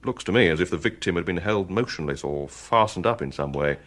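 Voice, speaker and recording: Deep-voiced, clinical police pathologist. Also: male voice, lively intonation, fairly bright tone, slight background noise. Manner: measured, clinical. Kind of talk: radio drama acting.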